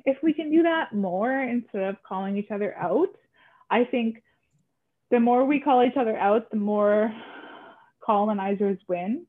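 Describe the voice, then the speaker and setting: Woman, podcast co-host, impassioned, lots of "like", higher voice, tinny phone sound. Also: measured pace. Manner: impassioned, many "like" fillers. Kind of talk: earnest casual conversation.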